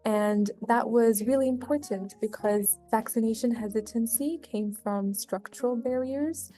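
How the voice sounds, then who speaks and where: A young woman from Tanzania, a graduate student researcher, telling her story in a measured, earnest way. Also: fairly high pitch, dark tone. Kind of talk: personal storytelling documentary voice-over.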